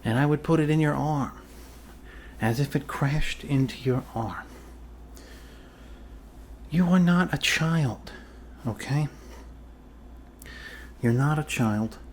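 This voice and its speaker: A man plays a goofy, reassuring doctor in an improvised ASMR roleplay. He talks slowly in a mid-pitched voice, rambling and joking casually. There is faint background noise on the recording.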